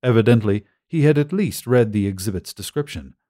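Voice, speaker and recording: A man's voice: on the low side, animated, fairly quick, mellow. A man reading a scripted short story as an audiobook narrator.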